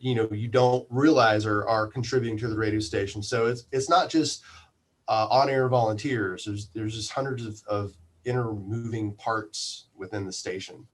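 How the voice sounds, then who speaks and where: A man giving a casual interview as a guest from a community radio station, speaking haltingly as he thinks aloud. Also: mid-range voice.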